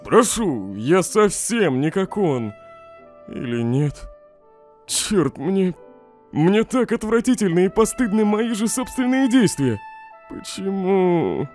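A self-doubting young skeleton guy acted out for a comic dub, mellow in tone but very animated in pitch. He is emotional, moving between mutters and outbursts over music. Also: takes it slowly. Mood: ashamed, distressed. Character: troubled young male skeleton monster, self-doubting, dramatic.

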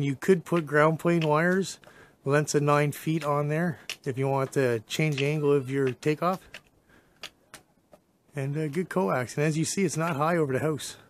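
A man's voice: a little high, a little hiss or hum. A man giving a casual, plain-spoken hands-on demonstration as a hobbyist, recorded on a handheld camera. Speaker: amateur radio hobbyist presenting a how-to video. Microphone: handheld camera mic, operator behind camera.

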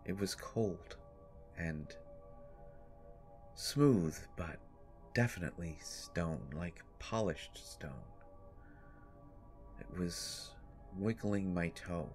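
Male actor as weary, haunted brother for horror audio monologue; unhurried, deliberate pauses, low register. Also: a little mellow. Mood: uneasy, hushed. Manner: halting, deliberate phrasing with long pauses. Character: haunted, sleepless, exasperated brother recounting a horror tale. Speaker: audio drama storyteller. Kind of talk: dramatic first-person horror monologue. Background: music.

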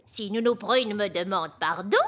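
A woman's voice: medium pitch, normal speed, fairly bright tone, close to an everyday voice. A woman voicing a touchy old witch in a French children's cartoon, stern and indignant yet capable of politeness.

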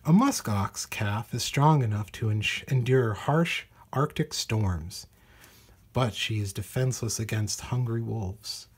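A man with a husky voice reading a children's nature storybook aloud in measured, deliberate phrases. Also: on the low side. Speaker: storybook reader. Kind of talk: read-aloud children's nonfiction storybook narration.

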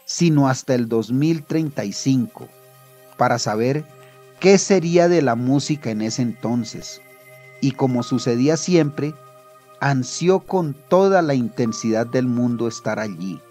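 A man reading scripted literary narration in a measured storytelling manner. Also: mid-range voice, mellow.

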